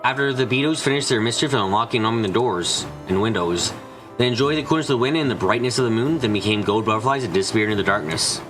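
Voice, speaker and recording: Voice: mid-range voice, quick pace, natural voice, rough recording. An amateur actor voicing a musing, theatrical young witch in a visual novel fan dub, with music behind. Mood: musing, explanatory.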